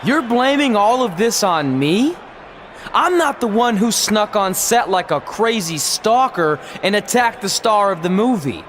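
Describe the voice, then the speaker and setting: A brash, sarcastic wrestler with a high voice for a male. He is cocky and defiant in a video game promo, with faint noise around him. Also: sing-song, measured pace. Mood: indignant. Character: cocky, defiant young male wrestler turned movie star.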